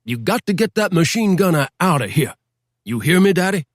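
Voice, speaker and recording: A man's voice: big pitch swings, fairly quick, dry recording, clean studio sound. A man voicing an insistent, condescending southern sheriff in an audiobook. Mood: pressing. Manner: insistent, repeats himself, pitch pushed up. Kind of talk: audiobook character voice.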